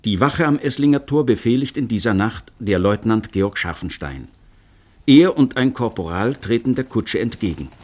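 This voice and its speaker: A man narrating a historical radio play in a measured storytelling manner. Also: lowish voice, expressive, a little mellow. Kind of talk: scripted narration.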